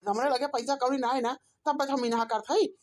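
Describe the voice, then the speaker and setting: A woman's voice: high voice, steady tone, fairly quick, a little mellow, lightly acted. A woman with an Awadhi accent voicing a pleading, put-upon grown village son and husband in a cartoon comedy. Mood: defensive pleading. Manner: complaining, self-justifying.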